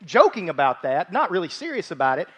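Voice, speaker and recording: This is a man in his forties with a notably high-pitched voice, preaching to his church. He teaches in an informal, conversational way, with a folksy manner. He often repeats a phrase to drive it home. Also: big pitch swings, quick pace, fairly bright tone, slightly rough. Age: 40s. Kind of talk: conversational sermon with joking asides. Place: church service, speaking live from a stage.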